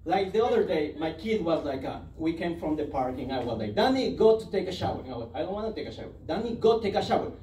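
A man in his thirties from Ecuador with a Spanish accent, doing an animated, self-mocking stand-up set on a club stage with a handheld mic. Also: on the high side, fast, hardly any pauses, slight background noise. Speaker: stand-up comedian. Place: comedy club stage, indoors. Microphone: handheld mic, filmed from the audience. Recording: roomy club sound taken from far off, loud ambience.

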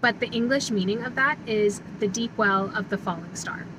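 A woman's voice: mid-range voice, normal speed, low-quality recording. A woman in her thirties, a travel vlogger, giving chatty, informative commentary into a phone held at arm's length in a car. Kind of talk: casual travel vlog chat. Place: inside a car.

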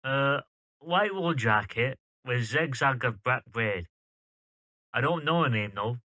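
A man acts a helpful young clerk in an audio drama. His casual voice is bright and forward, of middling pitch, recorded dry and close. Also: expressive, slow, no echo. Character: helpful young male post office clerk.

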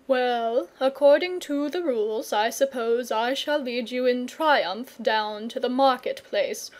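A woman's voice: on the high side, unhurried, close to an everyday voice. A woman voicing a confident, patient knight in a storybook, earnest, courteous and persuasive. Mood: matter-of-fact. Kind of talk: storybook character reading.

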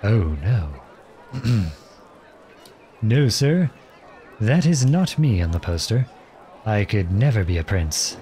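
A man playing an earnest, protective young outlawed prince in an audio roleplay, with a gentle, unhurried, folksy manner. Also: on the low side, big pitch swings, a little hiss or hum. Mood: polite, evasive. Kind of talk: ASMR roleplay acting.

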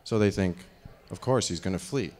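A man in his thirties with a very low, deep voice speaks into a handheld microphone on a dark stage. He rambles through a live talk, leaning on fillers and restarts as he goes. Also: normal speed. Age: 30s.

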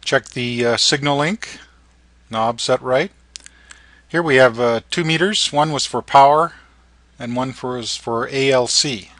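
A middle-aged or older man narrating an informal tutorial in halting, short phrases. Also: mid-range voice, fairly bright tone, no echo. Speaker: amateur radio operator and narrator.

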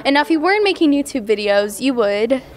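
A young woman with a high voice runs a magazine interview in an indoor convention hallway. She speaks into a handheld mic, bubbly and quick to laugh. Faint ambience hums behind her. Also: lively intonation, a little hiss or hum. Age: young adult. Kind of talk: upbeat interview host.

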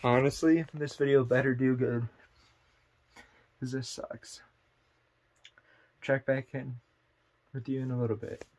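Young male vlogger, slow unhurried delivery, mid-pitched, nervous and joking, cold and sleepy in a cabin. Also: little pitch movement, darkish tone, slight background noise, poor audio. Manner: nervous, self-deprecating, talks slowly. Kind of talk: casual vlog to camera. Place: abandoned cabin at night, cold.

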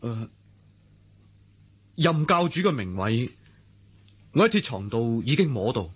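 An actor with a Hong Kong Cantonese accent playing an earnest, respectful young swordsman in a radio drama, excitable and polite. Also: medium pitch, unhurried, husky. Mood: respectful, puzzled.

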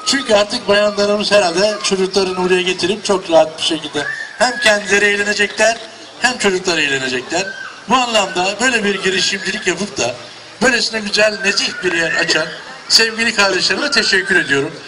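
High-voiced man giving a folksy opening speech. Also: fairly quick, noisy background. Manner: folksy, joking, ends with blessings. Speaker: mayor. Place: outdoors at a shop opening. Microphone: handheld mic.

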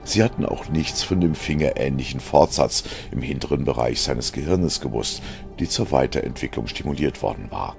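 A man's voice: extremely low pitch, animated, moderate pace, creaky. A man reading scripted science fiction as a narrator, in a dramatic style. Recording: music behind the narration. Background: music.

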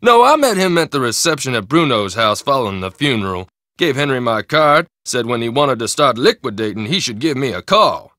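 A chatty, bright-voiced shop owner. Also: male voice, mid-range voice, sing-song, fairly quick, dry recording, clean studio sound. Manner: folksy, salesman patter. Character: chatty male shop owner, friendly hustler. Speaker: game character. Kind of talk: video game voice acting.

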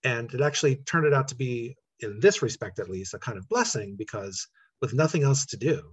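A middle-aged American man with a slightly husky voice, answering interview questions in a thoughtful, halting, conversational way. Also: mid-range voice, animated. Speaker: playwright being interviewed. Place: living room at home, indoors. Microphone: computer mic on a video call.

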